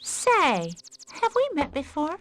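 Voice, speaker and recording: A woman's voice: sits high, huge pitch swings, slow, fairly bright tone, faint music behind, heavily acted. A woman playing a perky, friendly young country woman with a Southern US accent in a video game. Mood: cheery, curious.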